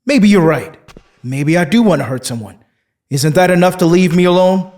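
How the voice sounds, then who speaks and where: An actor playing a desperate, defensive man weighed down by guilt, speaking in a halting, guarded way in an audio drama. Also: high-pitched, lively intonation, a little mellow. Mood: angry, defensive.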